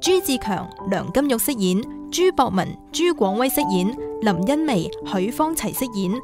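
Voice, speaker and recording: Higher-voiced woman announcer over music. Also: very animated, normal speed. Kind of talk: scripted credits announcement. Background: clear background music.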